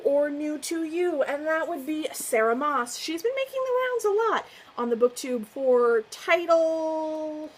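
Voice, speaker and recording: A woman's voice: high-pitched, low-quality recording. Woman book vlogger, effusive, chatty, faint room noise behind her. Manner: gushing, effusive, laughs often. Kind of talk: casual chat to camera.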